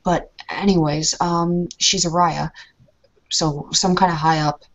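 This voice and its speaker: Worried woman roleplayer, lower register, rambling, recorded lo-fi. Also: fairly even intonation, slow. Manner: anxious, rambling, many restarts. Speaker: player in a tabletop roleplay. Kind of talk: in-character roleplay conversation. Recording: lo-fi muffled recording.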